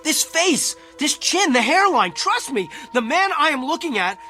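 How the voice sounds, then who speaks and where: Distraught husband, radio drama, insistent, pleading, animated pitch, sharp forceful tone. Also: male voice, unhurried, soft background music, heavily acted. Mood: agitated. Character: distraught husband convinced his face is a stranger's.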